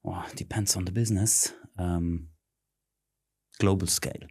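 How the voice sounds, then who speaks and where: A middle-aged man with a very low voice talks through his career. He pauses often, filling gaps with ums and uhs. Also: fairly even intonation, a little mellow, husky. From Switzerland. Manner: halting, many ums and uhs. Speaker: interview guest, search consultant. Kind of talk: conversational interview.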